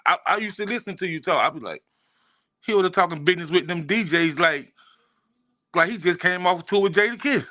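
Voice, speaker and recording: A man's voice: high-pitched, expressive, a little fast, pushed and bright, dry recording, studio quality. A man hosting a casual interview, speaking in slang and repeating phrases for emphasis. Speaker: interview host.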